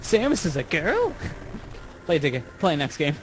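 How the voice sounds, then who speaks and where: A man with a slightly high voice chats and jokes as a gaming co-commentator. The recording has faint noise and ambience behind him. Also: huge pitch swings, measured pace, low-quality recording. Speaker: let's play co-commentator. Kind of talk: casual joking chat.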